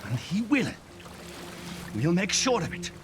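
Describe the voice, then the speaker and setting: A man's voice: sits high, wildly swooping pitch, unhurried, faint music behind, full character voice. A man playing a loyal, protective Viking warrior in a video game, earnest and resolute. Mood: resolute, reassuring. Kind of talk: cinematic video game acting.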